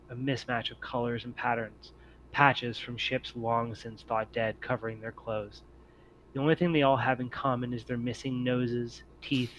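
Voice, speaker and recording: A man reading scripted, descriptive scene-setting narration as game master of a tabletop game, in a measured storytelling way. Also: mid-range voice, fairly bright tone, muffled recording. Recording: noticeable background noise.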